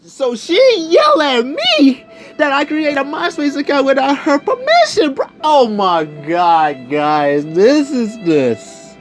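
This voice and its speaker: A young man telling a personal story casually, in an animated, slangy way, acting out the conversations as he goes. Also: very high voice, takes it slowly, faint music behind. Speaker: video storyteller.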